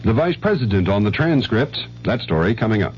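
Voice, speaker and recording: A man's voice: fairly low pitch, sing-song, brisk, very noisy. A man anchoring a scripted radio newscast with formal announcer delivery. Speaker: network radio news anchor. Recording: dull old radio recording.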